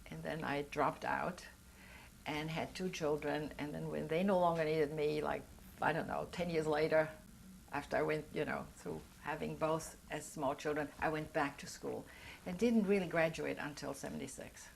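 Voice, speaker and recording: An older woman, German by mother tongue, speaks in a slightly low voice during an oral history interview. She is reflective and earnest and pauses to search for the right words. A faint hiss sits under the recording. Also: steady tone, vocal fry.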